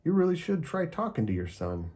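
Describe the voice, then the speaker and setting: Earnest little boy voice, high and eager, man doing it for a storybook. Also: sing-song, brisk, darkish tone. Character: brave young boy home alone.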